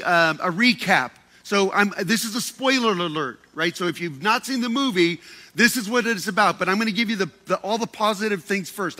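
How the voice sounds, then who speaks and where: Male speaker for a group talk: playful and self-mocking, bright and sharp in tone, stumbles and repeats as he goes. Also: high voice. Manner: playful, joking, often repeats and restarts words. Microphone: handheld microphone.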